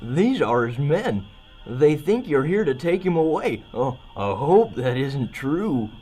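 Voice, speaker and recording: A man's voice: on the high side, big pitch swings, slow pace, warm tone, breathy edge, faint music behind, full character voice, rough recording. A man voicing a manic, fawning cartoon dog, rambling and erratic, in an old-time radio drama parody. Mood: awed, jittery.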